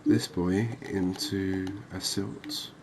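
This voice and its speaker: A man doing soft-spoken ASMR game commentary in an unhurried, calming, reassuring manner. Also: low voice, noisy background. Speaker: host of a cozy gaming channel.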